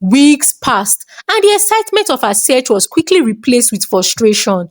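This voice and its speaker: A woman's voice: sits high, big pitch swings, normal speed. A woman narrating a scripted folktale as a storyteller.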